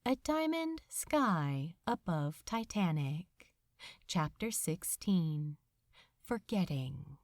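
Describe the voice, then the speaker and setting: A woman reading literary fiction aloud as scripted audiobook narration, in a measured, dramatic storytelling manner. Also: lowish voice, very animated, a little mellow, no room sound, studio quality.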